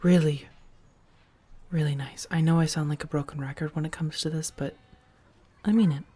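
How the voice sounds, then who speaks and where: A woman playing an affectionate, playful female vampire roommate in a soft-spoken, gently teasing ASMR roleplay. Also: low-pitched, fairly even intonation, moderate pace. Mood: tender, relaxed. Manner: gentle, chatty, teasing, with soft murmurs and breathy asides.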